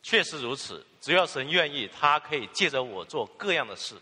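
Male sermon interpreter with a bright, animated voice, filler particles between phrases, echoing in a long-reverb church hall. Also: a little high, a little fast, breathy edge. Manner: fluent, with filler particles and restarts.

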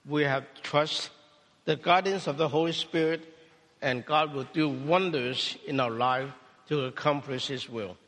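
A man preaching a sermon testimony in short phrases, pausing for an interpreter. His first language is Cantonese. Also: on the high side, fairly bright tone, long reverb tail. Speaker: pastor.

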